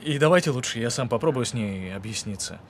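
An actor playing an earnest, troubled man in an audio drama phone dialogue, speaking in a halting, guarded way. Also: mid-range voice, lively intonation, slight background noise, natural voice. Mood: earnest, pleading.

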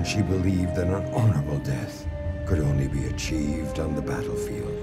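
An actor narrating an ancient war epic for a game as a solemn, ominous male storyteller with a grave, portentous manner. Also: sits low. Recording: loud music under the voice.

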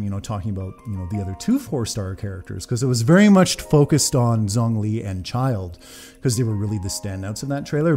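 Man in his thirties, casual sweary reaction commentary, warm dark tone, faint music behind. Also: mid-range voice. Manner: informal, enthusiastic, sweary. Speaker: reaction video host. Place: small room at a desk, indoors. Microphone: large desk microphone, close.

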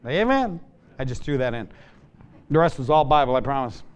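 An older American man preaching a folksy revival sermon in a small church, telling stories and shouting at the high points. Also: very animated, moderate pace, clear, resonant tone, dull audio. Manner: storytelling, shouts on climaxes, jokes with congregation. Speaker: evangelist. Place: small church sanctuary at a pulpit. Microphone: fixed camera from the pews.

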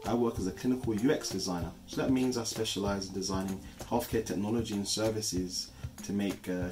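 A man in his thirties introduces his channel from the sofa at home, speaking in a measured, earnest way. His voice sits a little low, and clear music plays underneath him throughout. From East London, England. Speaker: channel co-host. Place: living room, on a sofa. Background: soft background music.

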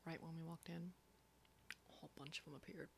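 A woman playing a foul-mouthed, sardonic apocalypse survivor in an ASMR horror roleplay, breathy, dry and often whispering. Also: deep voice, steady tone, a little mellow, slight background noise. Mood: relaxed, wry. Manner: sweary, dry, unhurried.

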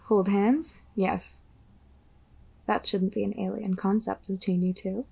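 A commanding, teasing witch from an audio drama, voiced by a woman. She speaks slowly and boldly in a husky, breathy voice. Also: medium pitch, little pitch movement, warm tone, full character voice. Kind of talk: audio roleplay voice acting.

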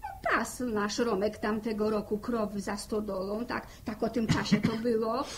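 An actress as a middle-aged farm wife in a vintage radio serial. Her voice sits high, and she is impatient and blunt, pushing into the talk with folksy emphasis. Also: animated, moderate pace, natural voice. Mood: explaining. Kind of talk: 1960 radio drama acting.

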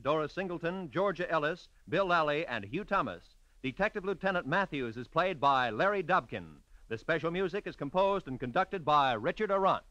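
A man's voice: a little high, bright, forceful tone, dry recording, lo-fi sound. An American man reading scripted credits as a 1950s radio announcer.

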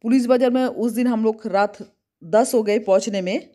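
A fairly high-voiced woman from West Bengal talks her way through a road trip in easy, chatty narration, ticking off places and expenses. She films herself on a phone held at arm's length from the car and the roadside. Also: moderate pace, mellow. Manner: chatty, listing places and costs. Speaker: travel vlogger.

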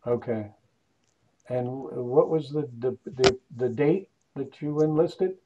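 A man who runs oral history interviews, asking measured, polite questions over a video call. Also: medium pitch, highs cut off, very noisy. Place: Moorestown, New Jersey.

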